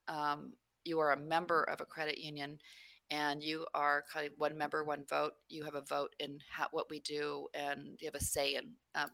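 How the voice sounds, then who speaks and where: A woman's voice: low-pitched, gravelly, a bit bright, no room sound. A woman from New Mexico, a credit union CEO, answering interview questions in a rambling way with many fillers.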